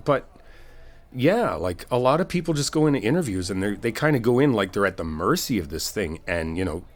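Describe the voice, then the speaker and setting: A man's voice: fairly low pitch, sing-song, fairly quick. A man playing a supportive, laid-back best friend in a casual comfort roleplay, talking in a reassuring, rambling, informal way. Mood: reassuring, earnest. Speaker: ASMR roleplay performer.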